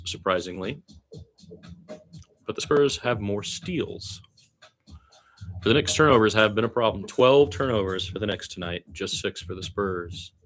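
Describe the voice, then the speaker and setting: This is a man with a fairly low-pitched voice doing amateur radio-style basketball play-by-play. His delivery is conversational and slangy rather than polished. He sounds like he is thinking out loud as he follows the game. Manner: informal, slangy, thinking aloud. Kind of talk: loose, conversational basketball commentary.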